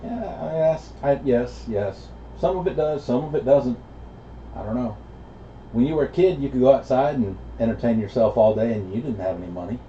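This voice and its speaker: A man's voice: medium pitch, moderate pace. A middle-aged man chatting casually as an operator over CB radio. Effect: CB radio transmission. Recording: muffled CB radio reception, loud static noise.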